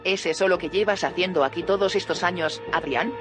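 Actress playing an earnest, probing sci-fi heroine. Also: female voice, on the low side, fairly quick, music in the background, lightly acted. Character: earnest, probing woman confronting a colleague. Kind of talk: audio fiction drama acting.

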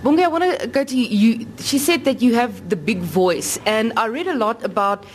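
A woman radio interviewer asking brisk, probing questions. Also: a little high, expressive, a little hiss or hum.

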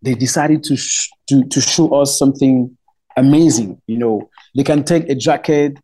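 A middle-aged man from Cameroon with a Cameroonian accent, speaking English as a second language. He is a festival spokesman in a radio interview, talking haltingly with many fillers and restarts. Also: mid-range voice, warm tone, poor audio. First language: Romala.

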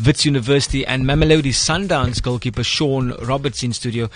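A man's voice: mid-range voice, fairly even intonation, brisk, no room sound, soft background music. A man with a South African accent hosting a football radio show, reading results and interviewing in a studio. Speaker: sports radio host.

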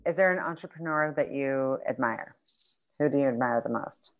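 Casting note: woman host, very low voice, friendly, upbeat and encouraging as she asks questions. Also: normal speed. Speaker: interviewer. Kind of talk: interview questions.

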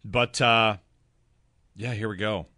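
Bright-voiced sports radio host. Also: male voice, fairly low pitch, slow pace, no echo. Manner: chatty, joking, energetic. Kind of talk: casual chat. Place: radio studio.

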